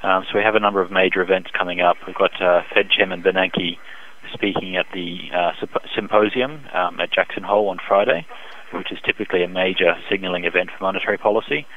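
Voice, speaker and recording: Low-pitched man on a dull phone line. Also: fast. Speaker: economist and currency strategist interviewed as expert. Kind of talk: phone interview, analytical market commentary. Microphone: telephone.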